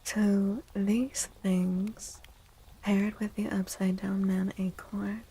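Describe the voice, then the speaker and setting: Female ASMR livestream host. Husky, breathy, often whispered, with a soft warm tone and gentle manner. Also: medium pitch, flat delivery, poor audio. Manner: gentle, unhurried. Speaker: livestream host and tarot reader.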